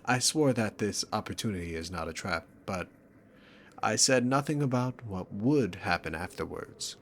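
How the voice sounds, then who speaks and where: A man's voice: lowish voice, animated, moderate pace, a bit bright, a little hiss or hum. A man voicing a dominant, sarcastic demon king in an ASMR roleplay, taunting the listener with theatrical, arrogant condescension. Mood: menacing.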